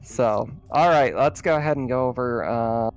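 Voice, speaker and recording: A man's voice: mid-range voice, normal speed. A man casually narrating and refereeing a tabletop roleplaying game as game master. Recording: noticeable background noise.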